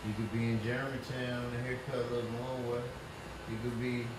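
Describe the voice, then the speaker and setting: A man's voice: mid-range voice, level tone, moderate pace. Middle-aged man, echoey noisy room. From Philadelphia and New York, United States. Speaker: guest, barber and product CEO. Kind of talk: casual interview chat. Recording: echoey room with loud background noise.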